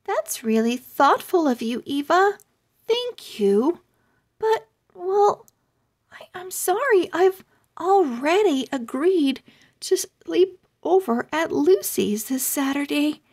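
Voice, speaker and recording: A woman voicing a polite young girl owl in a storybook, gentle and apologetic in manner. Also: very high voice, animated, takes it slowly, voice pushed up, full character voice.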